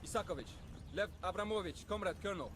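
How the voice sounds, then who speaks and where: Man playing an earnest high-voiced Soviet lieutenant. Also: fast talker, noisy background, lightly acted. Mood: respectful. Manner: urgent, respectful. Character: earnest young Soviet lieutenant pleading with superior. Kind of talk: dramatic video game cutscene acting.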